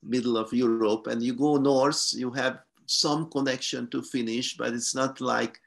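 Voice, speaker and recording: A man in his fifties, a native Hungarian speaker, talking slowly with many pauses and fillers on a video call from a room at home. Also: medium pitch, fairly even intonation, gravelly. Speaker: guest scientist. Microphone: video call webcam.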